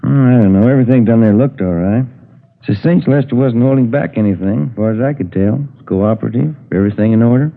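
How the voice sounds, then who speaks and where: A man's voice: mid-range voice, quick pace, vocal fry, narrow, tinny audio, lightly acted. An American man playing an easygoing, inquisitive police detective in a 1950s radio drama, casual and matter-of-fact. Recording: old band-limited radio broadcast.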